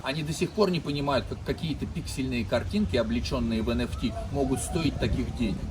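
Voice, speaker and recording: Man giving a measured outdoor monologue. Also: mid-range voice, slight background noise. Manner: measured, lecturing delivery with rhetorical questions. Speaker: host of a weekly commentary segment. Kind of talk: prepared monologue.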